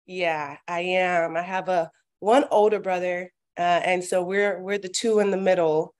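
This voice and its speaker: A woman's voice: lowish voice, fairly even intonation, measured pace, darkish tone. A young woman from Miami, a talk show guest, speaking in a friendly, reflective way with many fillers as she recalls her upbringing. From Miami, Florida. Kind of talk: interview conversation.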